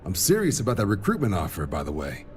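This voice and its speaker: An actor playing an easygoing, wry military recruiter officer in a video game, talking in a casual, colloquial way. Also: male voice, lowish voice, huge pitch swings, fast talker, a little mellow, soft background music. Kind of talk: video game cutscene acting.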